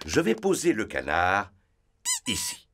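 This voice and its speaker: A man's voice: mid-range voice, huge pitch swings, unhurried, fairly bright tone, slight background noise, exaggerated voice. A man voicing a cheerful, indulgent middle-aged father pig in a children's cartoon dub, with a playful theatrical manner.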